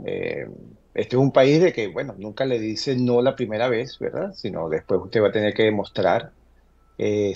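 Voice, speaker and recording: A man speaking as an immigration lawyer, giving conversational advice in a halting way, often checking in with short tag questions. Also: lowish voice, gravelly, darkish tone, slightly rough, lo-fi sound. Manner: hesitant, halting explanations, tags statements with "verdad".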